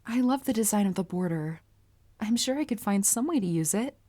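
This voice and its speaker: A woman playing a polite, earnest, bashful young anime heroine with a crush, speaking formally and gently gushing in a roleplay audio. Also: medium pitch, expressive, a little fast, natural voice. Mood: modest, pleased. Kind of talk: anime character roleplay audio, addressed to listener.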